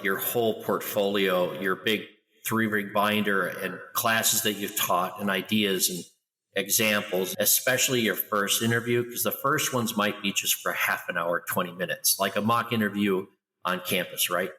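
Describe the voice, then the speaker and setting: A middle-aged man talking loudly and with lively energy as a guest in an interview. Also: on the low side, measured pace, long reverb tail, rough recording. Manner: loud, animated storyteller. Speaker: guest, international school educator. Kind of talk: conversational interview.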